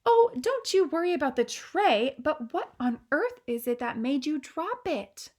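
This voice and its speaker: A woman's voice: sits high, sing-song, a little slow, a little mellow, pitched above the performer's own voice. A woman reading a storybook aloud, voicing an elderly queen with refined, exclamatory speech. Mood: alarmed, refined. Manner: refined, exclamatory, posh phrasing. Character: elderly queen, grand, easily alarmed.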